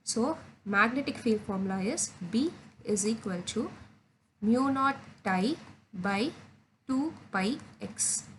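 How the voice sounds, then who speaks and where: A woman's voice: on the high side, lively intonation, slow pace, a little hiss or hum, poor audio. A woman tutor working through exam solutions step by step, methodically spelling out formulas in short phrases. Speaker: physics tutor.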